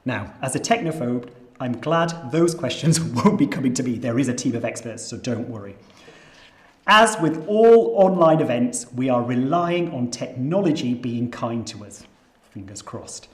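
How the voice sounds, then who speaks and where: Yorkshire accent, event host, scripted announcements, self-deprecating asides, echoey room. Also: mid-range voice. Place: nature reserve headquarters.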